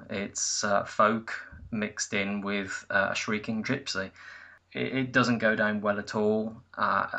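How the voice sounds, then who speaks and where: A man on a panel giving blunt, critical opinions on songs in a measured, deliberate way. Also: fairly low pitch, vocal fry, bright, forceful tone. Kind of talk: opinionated music commentary.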